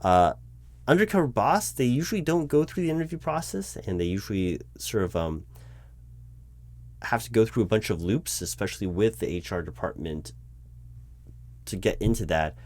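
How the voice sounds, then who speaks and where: A man playing an exasperated corporate hiring manager in a one-sided interview roleplay, talking in a rambling, halting way with stammers and self-corrections. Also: on the low side, lively intonation, darkish tone. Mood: exasperated, hesitant. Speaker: roleplay performer. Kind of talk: improvised one-sided job interview roleplay, spoken aloud.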